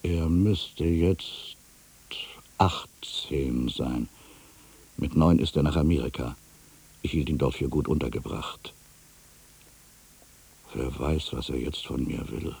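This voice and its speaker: A man's voice: a bass voice, slow, vocal fry, long pauses, husky, full character voice. A man playing a bitter, anxious ageing writer who thinks aloud in a theatrical radio-play monologue, brooding and volatile. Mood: weary, brooding. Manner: brooding, theatrical, from hushed muttering to agitated outbursts. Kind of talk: dramatic first-person monologue, radio play.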